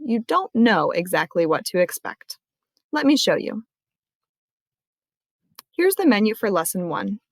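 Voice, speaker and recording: A woman librarian walking viewers through a screen-recorded tutorial in a clear, friendly explaining manner. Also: mid-range voice, no echo.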